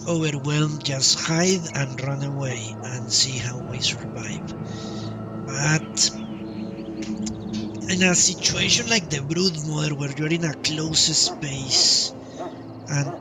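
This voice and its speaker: A man livestreaming a video game with casual commentary, thinking aloud in a halting way. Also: a little high, far from the mic. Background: game music.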